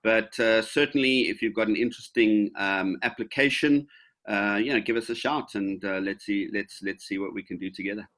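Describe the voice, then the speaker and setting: A man's voice: on the low side, steady tone, normal speed, fairly bright tone. A man in his fifties answering questions on a video call, casual and hesitant, with many fillers and restarts. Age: 50s. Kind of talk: casual webinar Q&A.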